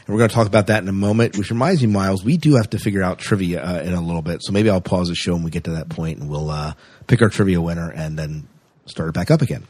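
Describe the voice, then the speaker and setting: Low, slightly husky man chatting on a podcast. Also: quick pace, darkish tone, no echo. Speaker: podcast co-host. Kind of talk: casual podcast chat.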